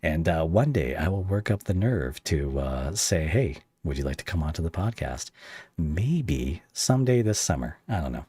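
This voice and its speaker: Deep-voiced easygoing podcast host. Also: male voice, very animated, moderate pace, mellow, husky, dry recording. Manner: easygoing, hesitant, many fillers and restarts. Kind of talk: casual interview chat.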